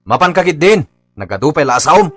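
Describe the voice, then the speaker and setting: A gruff husband bickering in an Ilocano accent, his pitch moving up and down a lot. He is curt and mocking, in comedy radio drama style with music underneath. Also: very animated, a little fast, a bit bright. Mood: scornful, irritated.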